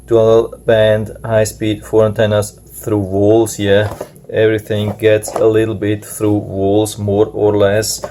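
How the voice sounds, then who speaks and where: A man's voice: lowish voice, monotone, takes it slowly, warm tone, a little hiss or hum. A man whose first language is German, casually reviewing a gadget he unboxes at a table, hesitant, with many filler sounds. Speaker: product reviewer. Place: indoors at a table.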